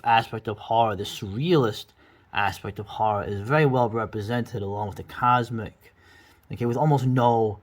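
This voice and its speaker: A middle-aged man giving casual, rambling, enthusiastic commentary as the host of a video review. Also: on the low side.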